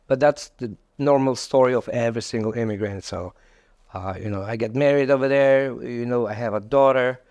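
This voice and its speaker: A middle-aged man with a Polish accent talks as an interview guest. He speaks in a conversational, halting way, repeating words as he goes. The sound is dry, with almost no room on it. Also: mid-range voice, darkish tone, no room sound, highs cut off. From Poland. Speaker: podcast guest, entrepreneur.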